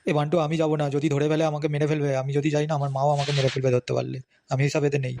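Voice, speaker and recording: A man voicing a timid, whining, cowardly young friend in a comedy cartoon, anxious and hurried, over background noise. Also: a little high, level tone, gravelly. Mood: scared, refusing. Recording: noisy background with clicks.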